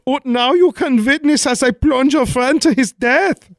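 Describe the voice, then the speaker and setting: An actor playing a fussy, scheming German villain in a comic 1940s-style radio play, with a German accent and theatrical, long-winded gloating. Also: male voice, extremely high pitch, a little slow, no echo. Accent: put-on German. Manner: theatrical, hesitant, over-explaining gloating.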